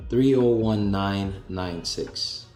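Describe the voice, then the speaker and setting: A man hosting a conference call, answering a caller's questions in a measured, explanatory way with some restarts. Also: fairly low pitch, a little mellow, reverberant, faint music behind.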